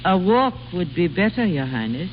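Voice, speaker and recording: Expressive, anxious lady-in-waiting. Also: lowish voice, moderate pace. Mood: deferential, fretful. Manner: deferential, fretful. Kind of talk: 1930s radio drama acting. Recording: old radio broadcast, muffled with faint hiss.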